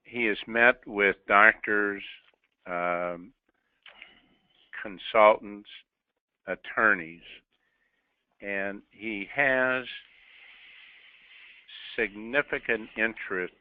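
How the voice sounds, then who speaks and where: A male company executive answering investor questions on a telephone call, measured and pausing between short phrases. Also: lowish voice, clean tone, no echo. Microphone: telephone on a conference call. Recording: narrow dry telephone line.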